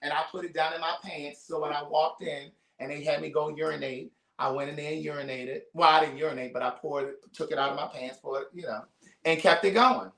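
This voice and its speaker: Animated, higher-voiced man in echoey room. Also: a little fast, vocal fry. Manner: animated storyteller, playful, rambling asides. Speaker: host. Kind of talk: casual conversational chat.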